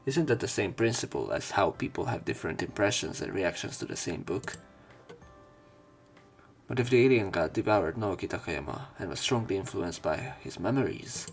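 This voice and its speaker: A man with a fairly low voice reads visual novel text aloud for a let's play. He drops in casual comments and corrects himself on tricky words, with game music playing behind him.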